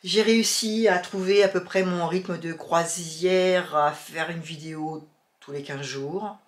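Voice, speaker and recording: A woman's voice: lowish voice, fairly even intonation, measured pace. Chatty woman with a cold, echoey room. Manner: chatty, hesitant, many fillers and restarts. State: has a cold, sniffling. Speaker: sewing and knitting YouTuber. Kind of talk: casual chat to camera.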